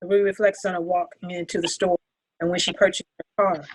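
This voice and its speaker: A woman's voice: lowish voice, little pitch movement, a little fast. A woman reading scripted stage directions as the narrator at a table read.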